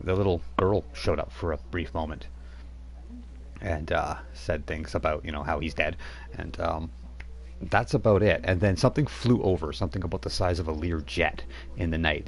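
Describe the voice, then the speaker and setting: A very deep-voiced man serves as game master, recapping the story in a loose, relaxed way. His delivery is full of fillers, and a faint hum sits under the recording. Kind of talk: recapping a tabletop game session.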